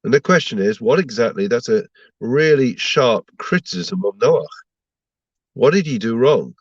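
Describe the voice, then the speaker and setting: A man's voice: mid-range voice, lively intonation, normal speed, breathy edge, no room sound, dull audio. A man giving an online Torah lesson to viewers, sounding stuffed up from a slight cold and teaching through rhetorical questions. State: blocked up with a cold. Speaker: rabbi giving a Torah class.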